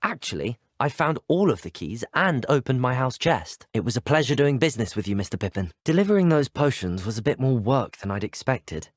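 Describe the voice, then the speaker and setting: Teenage wizard student, courteous and inquisitive, slightly husky, lively intonation, video game dialogue. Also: male voice, mid-range voice, brisk, few pauses, no room sound. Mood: polite, curious. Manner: well-mannered, formal, articulate phrasing. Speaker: video game player character. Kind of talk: scripted video game voice lines.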